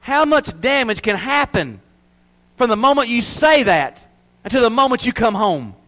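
Man, pastor, high voice, church sermon, loud emphasis, hushed asides. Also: sing-song, moderate pace.

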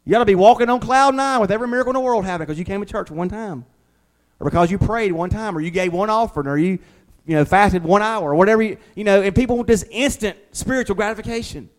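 A man's voice: high-pitched, fast talker. A middle-aged man preaching an informal, conversational sermon as a pastor, in a folksy way. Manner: folksy, uses homely examples and acts out imagined replies.

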